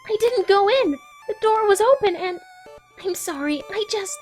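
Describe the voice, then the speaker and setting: A woman's voice: very high-pitched, animated, normal speed, darkish tone, soft background music. A woman voicing an eager, bookish young female cartoon pony with an earnest, wordy, apologetic manner in a comic dub. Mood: flustered, apologetic.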